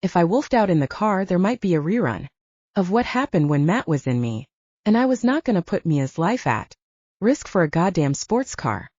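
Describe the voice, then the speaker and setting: Low, animated woman narrating fiction. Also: normal speed, no room sound, muffled recording. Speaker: audiobook narrator. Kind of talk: first-person fiction narration with acted dialogue.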